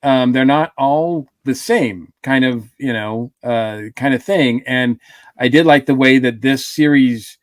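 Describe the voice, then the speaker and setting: A man's voice: mid-range voice, unhurried, dry recording, studio quality. A man co-hosting a casual podcast review, rambling with lots of fillers and restarts. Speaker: podcast co-host.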